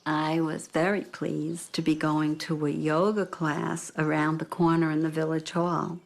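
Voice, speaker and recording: Low-voiced older woman, slow and measured. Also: little pitch movement, vocal fry. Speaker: bereaved mother giving testimony. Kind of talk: reflective documentary interview.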